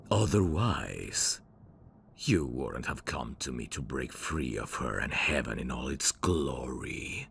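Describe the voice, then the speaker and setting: A man playing a suave, devoted demon servant of hell's royalty, speaking formally and deliberately in an ASMR audio drama. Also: slight background noise, heavily acted. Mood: persuasive, reverent. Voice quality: gravelly, very deep. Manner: formal, courtly, slow and deliberate.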